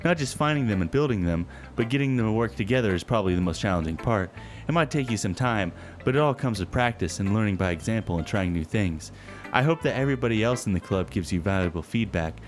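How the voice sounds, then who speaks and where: Guy doing a gloomy shy bookworm girl from a visual novel, low sullen voice, music under it. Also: expressive, lightly acted. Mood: earnest, expert. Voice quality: deep, dark, sullen. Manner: stammering when nervous, otherwise measured and bookish. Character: shy, gloomy teenage literature club girl.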